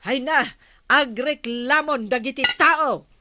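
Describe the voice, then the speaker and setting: An actress with an Ilocano accent playing a nagging, no-nonsense village wife in a radio comedy drama. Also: female voice, high voice, slow pace, background noise. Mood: scolding, exasperated. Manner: nagging, emphatic.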